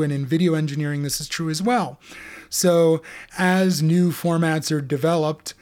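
A man's voice: on the high side, darkish tone. A man, an author being interviewed, explaining academic ideas in a thoughtful, conversational way with frequent fillers.

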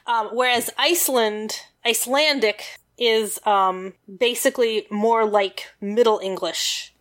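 A woman's voice: fairly high pitch, unhurried, a bit bright. A woman in a casual podcast chat, explaining history with lots of fillers and rambling asides.

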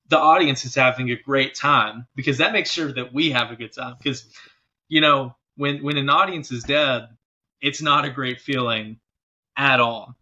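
A man's voice: mid-range voice, pushed and bright, highs cut off. A man speaking casually and informally as an interview guest, a working musician talking about his job. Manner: informal, often checking in with "you know". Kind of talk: casual chat.

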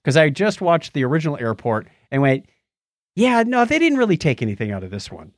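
A man's voice: a little high, very animated, fairly quick, dry recording, clean studio sound. A middle-aged or older man co-hosting a podcast, casually reviewing things in a rambling way and groping for names. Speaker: podcast co-host. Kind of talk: casual movie and book review chat.

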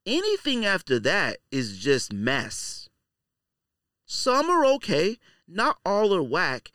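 A man's voice: high-pitched, huge pitch swings, takes it slowly, pushed and bright, no room sound. Casual, dry-sounding male podcast host. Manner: colloquial, slangy. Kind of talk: casual opinionated commentary.

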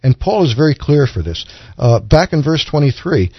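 A man speaking as a guest Bible commentator, explaining scripture off the cuff in a measured, point-by-point way. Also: fairly high pitch, big pitch swings, husky, dry recording, dull audio.

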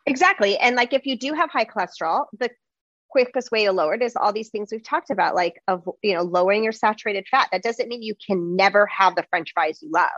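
A woman host in a casual conversation, chatty and animated, with lots of fillers. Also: medium pitch, brisk, no echo, highs cut off. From United States.

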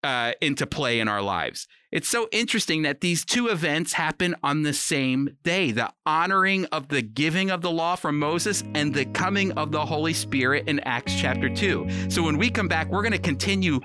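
Bright-voiced man teaching the Bible. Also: fairly high pitch, lively intonation, normal speed, hardly any pauses, faint music behind. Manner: earnest, emphatic, uses rhetorical repetition. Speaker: radio Bible teacher and host. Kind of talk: conversational Bible teaching for radio.

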